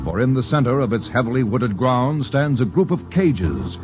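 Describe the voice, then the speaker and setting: A man's voice: medium pitch, very animated, normal speed, background noise. A man with an American accent announcing and narrating a 1940s radio drama in a dramatic style. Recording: muffled 1940s radio recording with music.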